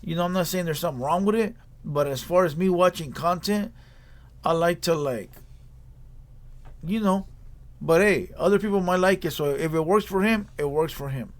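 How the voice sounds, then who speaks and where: Blunt higher-voiced man giving reaction commentary. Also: moderate pace. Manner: blunt, repetitive, slangy lecturing. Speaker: reaction video host.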